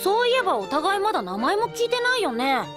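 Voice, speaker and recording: A woman's voice: extremely high pitch, very animated, racing, over music. An actress voicing a cutesy, friendly little male cat spirit in an anime. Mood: friendly, perky. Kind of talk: Japanese anime voice acting.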